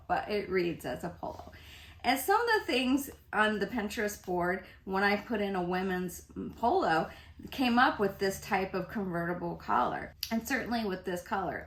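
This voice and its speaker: A woman's voice: fairly low pitch, a bit bright. A woman in her fifties chatting casually to camera about fashion, often laughing as she talks. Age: 50s. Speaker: fashion vlogger. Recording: echoey room.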